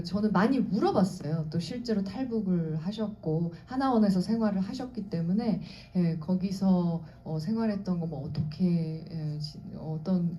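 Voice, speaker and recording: A chatty actress talks eagerly on a cinema stage, her voice very dark and mellow. The sound is muffled and a little dull, as if taken from the house system. Faint hall ambience sits behind her. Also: fairly low pitch, slight background noise. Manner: chatty, eager, repeats short affirmations. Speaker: actress at a screening Q&A. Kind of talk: candid panel talk. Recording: muffled audience-hall recording.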